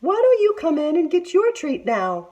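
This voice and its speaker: Woman putting on a sugary, very high-pitched tiger auntie. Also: wildly swooping pitch, moderate pace, a little mellow, dull audio, heavily acted. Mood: sugary coaxing. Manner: syrupy, coaxing, drawn out. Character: greedy male tiger posing as a sweet auntie. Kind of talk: children's storybook read-aloud.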